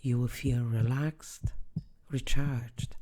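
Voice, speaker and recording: A meditation guide speaking softly and soothingly in short, measured phrases, often dropping close to a whisper. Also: mid-range voice, fairly even intonation.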